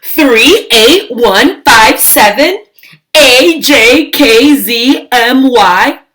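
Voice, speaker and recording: A woman voicing a bossy, jealous young girl mouse in a children's storybook, loud and emphatic. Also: fairly high pitch, animated, drawn-out words, exaggerated voice, poor audio. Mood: gleefully contrary. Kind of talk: children's storybook read-aloud.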